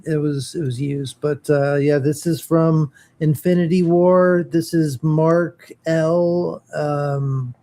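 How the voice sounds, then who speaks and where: A man chatting casually on a morning podcast, halting and searching for words as he shows off collectibles. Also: a little high, steady tone, mellow. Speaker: podcast co-host. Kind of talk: casual morning show chat.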